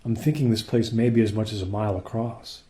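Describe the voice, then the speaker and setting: Space crewman, male, played by an actor, lowish dark-toned voice, earnest and deferential, measured reports to a superior, radio drama. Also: close to an everyday voice. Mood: earnest, concerned. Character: dutiful male crewman reporting to his captain. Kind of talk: radio play acting.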